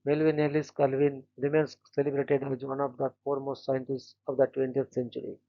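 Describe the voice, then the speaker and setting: Flat, gravelly man reading biographies. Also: medium pitch, brisk, lo-fi sound. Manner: flat, steady reading with stumbles on names. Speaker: host of a daily events channel.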